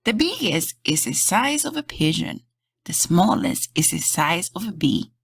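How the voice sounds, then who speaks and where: An earnest, precocious kindergarten girl from a picture book, voiced by a woman reader. She speaks emphatically in grown-up words, slowly and with lively swings, in a slightly husky voice. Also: lowish voice, full character voice. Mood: eager.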